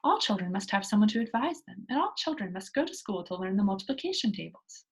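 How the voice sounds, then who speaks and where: Animated, slightly husky woman storyteller. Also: medium pitch, quick pace. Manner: engaging listeners with simple questions. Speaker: read-aloud storyteller. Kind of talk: reading a children's book aloud, with asides to young listeners.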